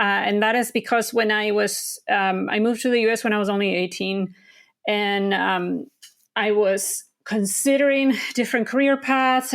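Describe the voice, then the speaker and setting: Woman, Argentine accent, native Spanish speaker, somewhat high voice, candid and personal. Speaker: Spanish instructor. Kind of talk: conversational interview.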